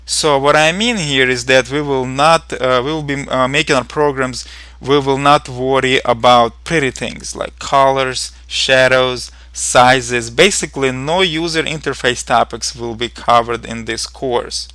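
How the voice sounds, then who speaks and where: Bright-voiced Ukrainian-accented man teaching code. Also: mid-range voice, steady tone, clear, resonant tone, dry recording. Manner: earnest, measured, with frequent ums and uhs. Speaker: programming tutor. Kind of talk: scripted tutorial narration.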